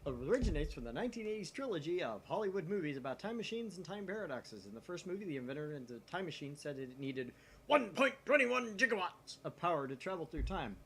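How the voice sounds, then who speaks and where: Animated man with very lively pitch. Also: fast talker, slight background noise. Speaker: co-host narrating a visual novel aloud. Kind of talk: casual chat and amateur narration of game text.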